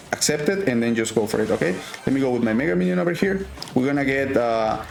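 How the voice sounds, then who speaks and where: Gravelly Mexican-accented man commentating gameplay. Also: medium pitch, darkish tone, reverberant. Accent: Mexican Spanish. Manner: casual, thinking aloud, frequent fillers. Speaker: gaming YouTuber.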